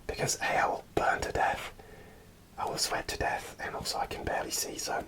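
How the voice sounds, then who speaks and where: A man whispering an ASMR show-and-tell in a soft, unvoiced whisper, hesitant and full of small fillers, partly from inside a costume helmet. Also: a little fast. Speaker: ASMR creator.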